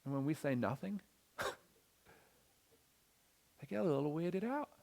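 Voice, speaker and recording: An energetic pastor delivers a sermon, often repeating words as he gets going. His voice sits a little high for a man. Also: measured pace, gravelly. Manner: energetic, repeats words when starting sentences.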